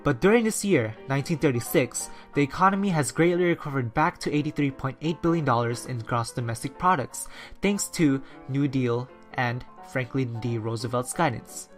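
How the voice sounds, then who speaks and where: A narrator and newscaster reading a 1930s-style radio story and news report in a steady, scripted way. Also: mid-range voice. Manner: steady, read-from-script delivery.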